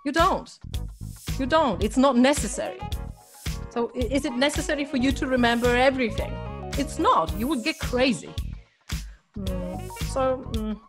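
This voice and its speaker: A woman's voice: sits high, animated, normal speed, long pauses, music in the background. A woman with a Polish accent, a language coach giving a hesitant motivational talk with many ums and restarts to her course members. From Poland.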